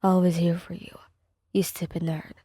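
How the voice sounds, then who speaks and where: A woman voicing a gruff but tender, protective young boyfriend in a soft ASMR story, murmuring sincerely. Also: fairly low pitch, little pitch movement, moderate pace, no echo, clean studio sound, voice pushed down. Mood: gruffly tender.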